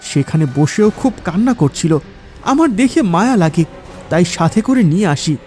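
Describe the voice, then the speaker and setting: A man voicing an earnest, soft-hearted royal soldier in a dramatic cartoon folk tale style. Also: high voice, expressive, moderate pace, faint music behind. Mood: respectful.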